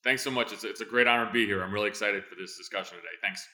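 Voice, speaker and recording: A man in his fifties, born in Greece and raised in New Jersey, telling stories as an interview guest in a quick, energetic way with many fillers and restarts. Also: on the low side. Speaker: guest, former intelligence officer. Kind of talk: conversational interview answers.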